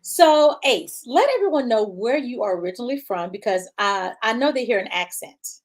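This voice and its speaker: A woman's voice: on the high side, expressive, moderate pace. A woman hosting a casual live-stream chat, bubbly, laughing often and reading viewer comments aloud. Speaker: show host.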